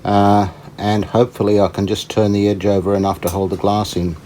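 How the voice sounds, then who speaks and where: A man with a low, soft-edged, dark voice talks through repair work in a casual way. He is unhurried and a little tentative, thinking aloud as he goes. There is faint noise behind him. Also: little pitch movement. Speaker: host of a radio repair channel. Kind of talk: casual hands-on repair commentary.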